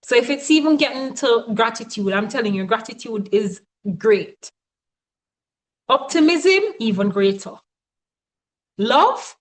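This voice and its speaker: High-pitched woman giving a motivational talk. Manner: emphatic, often checks in with "right?". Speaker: motivational coach and presenter.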